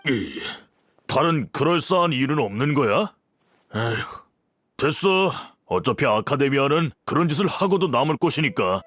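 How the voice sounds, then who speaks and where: A man's voice: mid-range voice, sing-song, normal speed, fairly bright tone, no echo. An actor playing a sensible, businesslike man in a video game, the straight man of the group. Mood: exasperated. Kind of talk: video game dialogue acting.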